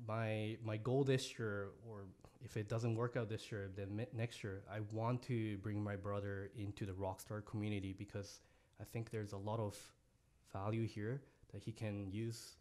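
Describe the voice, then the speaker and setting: A young man raised in Japan, a podcast guest speaking earnestly and softly with halting restarts, on a studio mic in an office. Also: fairly low pitch, level tone. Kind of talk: podcast interview. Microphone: studio mic on boom, headphones.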